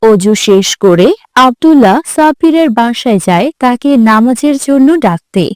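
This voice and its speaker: Woman narrator, fairly high voice, children's story, scripted delivery, dry close sound. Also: lively intonation, normal speed, darkish tone, clean tone, no echo.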